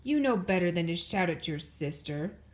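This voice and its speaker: Lady putting on storybook papa bear, lower n louder, stubborn scolding dad. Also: expressive, normal speed, lightly acted. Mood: stern, contrary. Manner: emphatic, argumentative.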